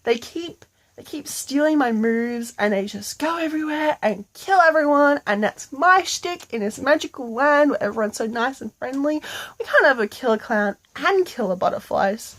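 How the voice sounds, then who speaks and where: Casting: woman as chilled-out killer clown for a storybook tale, casual slangy delivery, fairly high voice. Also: lively intonation, normal speed, a bit bright. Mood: aggrieved. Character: sassy killer clown, laid-back and slangy.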